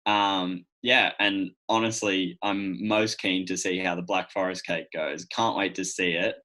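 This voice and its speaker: Casting: Australian male guest, colloquial and relaxed in manner, deepish voice with a forward, bright edge. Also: a little slow. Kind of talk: casual chat.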